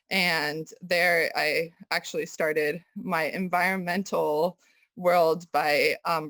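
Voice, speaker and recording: A young woman from Kazakhstan, raised in the US, answering interview questions thoughtfully with many pauses and restarts. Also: on the low side, fairly bright tone, no room sound. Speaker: guest artist. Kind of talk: casual interview conversation.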